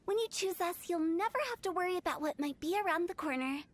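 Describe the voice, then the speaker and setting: Playful young female funeral director, very high voice, teasing, macabre sales patter, game voice acting. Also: animated, quick pace.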